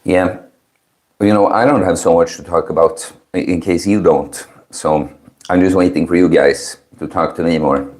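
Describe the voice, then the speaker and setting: A man in his early thirties hosting a casual livestream, chatting with viewers in a chill, mellow, low-key manner. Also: steady tone, normal speed. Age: early 30s. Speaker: livestream host. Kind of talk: casual chat with live stream viewers.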